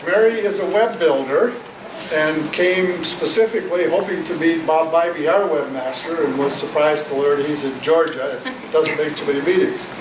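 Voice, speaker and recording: A man's voice: on the high side, normal speed. A man informally introducing people at a club meeting, speaking hesitantly with light humor. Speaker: club member introducing newcomers. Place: indoor meeting room. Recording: distant mic, echoey noisy room.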